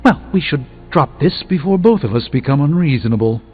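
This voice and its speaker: Male actor as haughty game sorcerer, thin phone-like audio, highly animated delivery, formal diction, middle-aged. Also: fairly quick, natural voice. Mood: indignant. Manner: pompous, formal, precise diction. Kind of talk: scripted video game acting. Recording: thin phone-like band, music behind.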